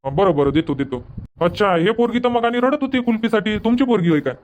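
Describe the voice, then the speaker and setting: कार्टूनमधल्या बोलक्या, तेच तेच सांगणाऱ्या गावाकडच्या कुल्फीवाल्याला वैदर्भीय मराठीत आवाज देणारा पुरुष. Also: male voice, high-pitched, expressive, quick pace. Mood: businesslike. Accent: Vidarbha Marathi. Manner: gossipy, repetitive. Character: chatty male village kulfi street vendor. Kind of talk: cartoon comedy dubbing.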